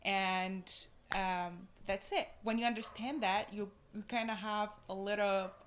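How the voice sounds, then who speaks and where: Slow, earnest woman from Tunisia. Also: medium pitch, fairly even intonation, a bit bright, a little hiss or hum. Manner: earnest, reflective, slow and deliberate. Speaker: podcast guest. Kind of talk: casual podcast interview.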